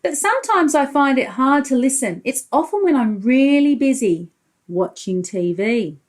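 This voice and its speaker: A woman's voice: high-pitched, animated, moderate pace. A woman preschool teacher reading a storybook aloud to young children, expressively, explaining as she goes and putting questions to the listeners.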